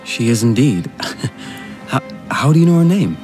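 A man acting a polite, earnest young gentleman in a period radio drama, hesitant and formal, charmed and a little defensive. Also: mid-range voice, sing-song, normal speed, soft background music, natural voice.

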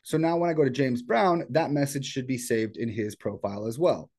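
A man walks through software in a casual screen-share style. He explains things step by step in an informal way, with a mid-pitched voice. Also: fairly quick. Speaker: software tutorial presenter. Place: indoors at a computer.

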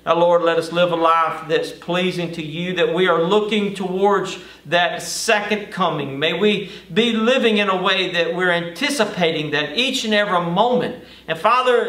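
Higher-voiced male pastor in an echoey room. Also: moderate pace, hardly any pauses, fairly bright tone, recorded from a distance. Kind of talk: Bible study sermon and prayer. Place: indoor studio or stage with lit backdrop. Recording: roomy, echoing, off-mic sound.

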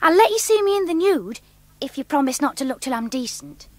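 Actress as a coy, prattling young fiancée, high and sing-song. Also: female voice, lively intonation, brisk, no echo. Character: prim, chattering young fiancée.

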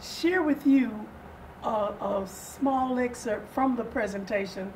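An older woman in her seventies giving interview answers in a measured, formal, deliberate manner. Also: on the high side, a little mellow. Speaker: guest, readers theater director. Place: furnished sitting-room set indoors. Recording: distant mic, audible room noise.

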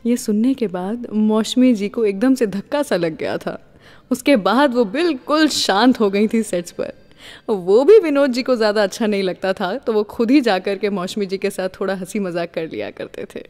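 A woman's voice: medium pitch, moderate pace, a little mellow. A woman hosting a radio show, telling stories and introducing songs. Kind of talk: radio storytelling and song introductions. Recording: long reverberant hall echo.

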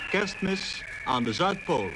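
A man's voice: high voice, sing-song, slow, heavy background noise. A man announcing and narrating a scripted 1940s radio programme, captured on an old disc recording.

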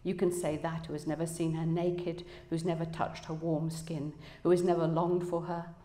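A woman's voice: little pitch movement, moderate pace, warm tone, close to an everyday voice. A woman voicing a bitter, fearful runaway husband in a novel reading, speaking in a cold, accusing way. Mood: bitter, haunted. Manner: low-voiced, cold, accusing. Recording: echoey room, public reading.

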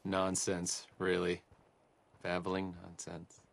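A man's voice: sits low, completely flat delivery, slow pace, gravelly, full character voice. A man playing a self-deprecating wasteland companion in a video game, mumbling ruefully and trailing off. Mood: flustered, muttering. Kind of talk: video game dialogue acting.

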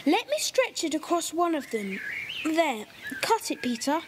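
A woman's voice: high-pitched, very animated, unhurried, background noise. A woman playing an eager, decisive young boy who leads a group of children in an audio drama.

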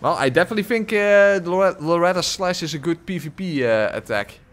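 A man's voice: sits high, animated, a little fast, fairly bright tone. Rambling male game streamer, close mic. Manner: rambling, lots of "uh" fillers, frequent chuckles. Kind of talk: casual live gameplay commentary, reading chat. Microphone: desk microphone close to the face.

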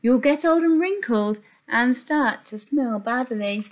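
A woman's voice: a little high, lively intonation, slow. A woman voicing sorry, shrivelled old fruit in a children's storybook, subdued and whimpering. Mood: sad, regretful. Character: sorry old shrivelled banana and mouldy grapes.

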